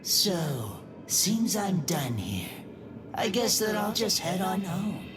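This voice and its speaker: A low but lively voice for a sly young spirit in an anime game. The delivery is unhurried and teasing, casually scheming, with music behind. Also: female voice. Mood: breezy. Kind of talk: anime video game voice acting.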